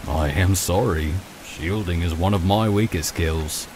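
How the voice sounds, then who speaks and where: Man playing a low-voiced, mild, earnest young healer. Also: unhurried, background noise. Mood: apologetic, earnest. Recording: loud music and rain behind. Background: game music and rainfall.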